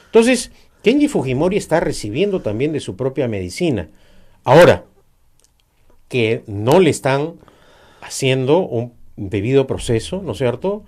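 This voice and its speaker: A middle-aged Peruvian man talks politics in an interview, pressing his points hard. His pitch moves up and down a lot, and he stops often on fillers before going on. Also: very animated, normal speed, darkish tone. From Peru. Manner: emphatic, argumentative, many hesitations. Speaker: political guest.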